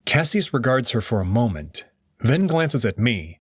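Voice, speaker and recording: A man narrating a visual novel aloud in an expressive way. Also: medium pitch, measured pace, husky, dry recording, clean studio sound. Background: background music.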